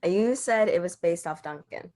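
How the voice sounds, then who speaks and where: A woman's voice: on the low side, expressive, moderate pace, darkish tone, lightly acted. A woman playing a curious, good-humoured reporter in a fan audio drama table read. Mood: curious, amused.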